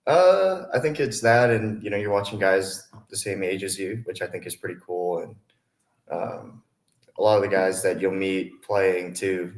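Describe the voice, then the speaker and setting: A man's voice: sits low, normal speed. A man in his twenties from Hawaii, an easygoing college athlete chatting casually as an interview guest. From Hawaii, USA. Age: 20s. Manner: easygoing, mellow. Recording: roomy, echoing sound.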